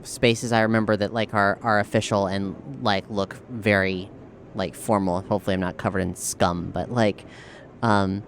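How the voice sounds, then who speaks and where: A man playing a tabletop role-playing game, thinking aloud haltingly as he improvises his character's moves. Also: fairly low pitch. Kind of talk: improvised in-character play and narration. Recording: steady noise behind the voice.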